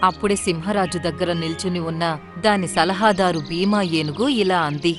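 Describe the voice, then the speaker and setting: A woman's voice: fairly low pitch, steady tone, a little fast, faint music behind. A woman narrating a scripted children's story.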